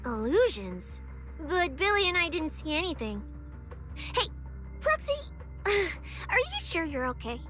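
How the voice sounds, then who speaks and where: A woman voicing an energetic, caring young girl companion in a video game. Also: very high voice, slow pace, pauses often, faint music behind, heavily acted. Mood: worried, caring.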